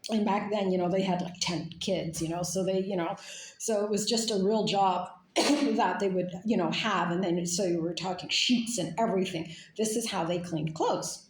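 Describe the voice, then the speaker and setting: A woman's voice: medium pitch, steady tone, moderate pace, reverberant, poor audio. A woman from Canada talking in a casual, rambling monologue, full of fillers and restarts. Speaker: speaker.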